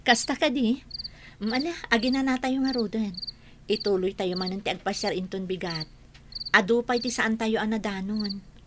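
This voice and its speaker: A woman's voice: mid-range voice, normal speed, noisy background, close to an everyday voice. A woman with an Ilocano accent playing a kindly, easygoing village hostess in a radio drama. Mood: gracious. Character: hospitable village woman, kindly older-sister type. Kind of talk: Filipino radio drama acting.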